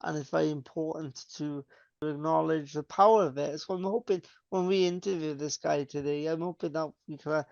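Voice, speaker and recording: A young man co-hosting a metal podcast, his speech rambling and full of restarts. His voice sits a bit high and carries a gravelly, smooth edge. The sound is dry and dull, like a lo-fi podcast mic. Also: steady tone, moderate pace, a little mellow, no room sound. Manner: rambling, many fillers and restarts. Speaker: podcast co-host. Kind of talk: casual chat.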